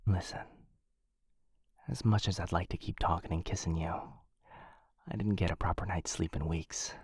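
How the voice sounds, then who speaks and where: A man plays a shy dog-person roommate in a boyfriend ASMR roleplay, tender and hesitant. His voice is low and breathy, often slipping toward a whisper. He takes his time with words, speaking intimately close to the mic. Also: darkish tone, no room sound, exaggerated voice. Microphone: close microphone in a dry space.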